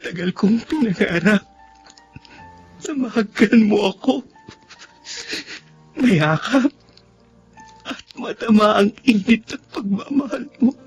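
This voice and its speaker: A man's voice: high voice, lively intonation, slow, long pauses, lo-fi sound, soft background music, full character voice. An actor playing an anguished young man secretly in love with his male friend, in melodramatic radio drama style. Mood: anguished, tearful. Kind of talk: Tagalog radio drama acting.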